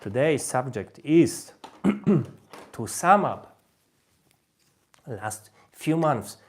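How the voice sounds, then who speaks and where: Male livestream host, native Polish, Polish accent, medium pitch, informal and halting with frequent repeats. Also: big pitch swings, slightly rough. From Poland. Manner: halting, repeats and rephrases phrases. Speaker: host, language learner giving advice. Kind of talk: informal livestream talk on language learning.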